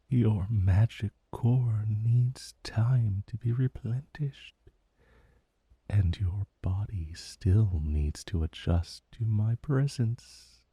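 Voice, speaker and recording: A man playing an ancient dragon in a romantic fantasy ASMR roleplay, possessive and menacing yet tender, with breathy, drawn-out, condescending endearments. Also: fairly low pitch, dark tone, dry recording, exaggerated voice. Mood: hushed, soothing.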